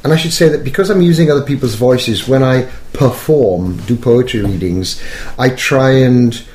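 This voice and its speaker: A middle-aged or older man with an educated Welsh accent, a poet reflecting in an interview in a thoughtful, halting, self-correcting way. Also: medium pitch, a little mellow. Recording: echoey room.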